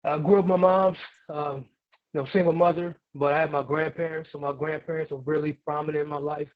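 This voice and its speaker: A man's voice: fairly high pitch, little pitch movement, a little fast, no echo. A man in his forties from Germany and Missouri, chatting casually as an interview guest in a laid-back, slangy way. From Germany and Missouri, USA. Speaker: guest, hip hop artist and producer. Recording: narrow, tinny phone-like call audio.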